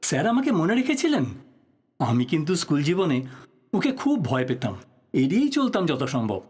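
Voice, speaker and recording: Husky, expressive male journalist. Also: fairly high pitch, brisk, heavily acted. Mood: polite, reflective. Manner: polite, probing, musing to himself. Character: earnest, persistent male journalist probing his old teacher's death. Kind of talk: Bengali audio drama acting.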